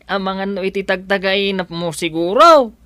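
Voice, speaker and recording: A woman's voice: medium pitch, lively intonation, slow, a bit bright, no room sound. A woman with a Filipino accent voicing a simple, playful villager in an audio drama, drawling and bantering as she teases back. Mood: mocking. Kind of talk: Ilocano audio drama acting.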